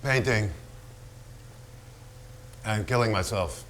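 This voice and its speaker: A man's voice: fairly low pitch, slow, a bit bright, a little hiss or hum. A volatile, brooding male painter. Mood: brooding, confessional. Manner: blunt, profane, brooding, given to repetition. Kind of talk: theatrical staged reading.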